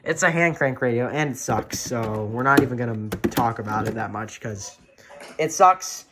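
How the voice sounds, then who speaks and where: A man's voice: mid-range voice, moderate pace, heavy background noise. A young man hosting an off-the-cuff show-and-tell, rambling and repeating himself with blunt opinions. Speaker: video host showing a radio collection.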